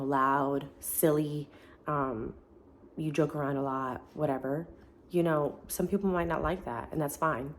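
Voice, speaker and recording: Deep-voiced woman vlogging, slightly gravelly. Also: a little hiss or hum. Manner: earnest, encouraging, chatty with many fillers. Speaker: self-help vlogger. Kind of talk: casual talk to camera. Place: family home, indoors.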